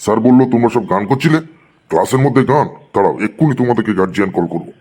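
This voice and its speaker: A man's voice: medium pitch, expressive, rapid-fire, a little mellow, a little hiss or hum, natural voice. A man voicing a stern, easily angered schoolteacher who scolds in a cartoon comedy. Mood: angry.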